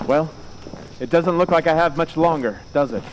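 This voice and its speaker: A man's voice: a little high, normal speed, noisy background, close to an everyday voice. A man playing a movie star's resentful, scheming husband in an old-time radio drama, speaking tersely and conspiratorially. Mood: tense, conspiratorial.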